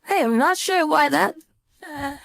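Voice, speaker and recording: High-voiced woman giving a casual tutorial. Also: animated, a little slow, vocal fry, fairly bright tone, dry recording. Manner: informal, thinking aloud while demonstrating. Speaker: design instructor. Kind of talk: casual screen-share software tutorial.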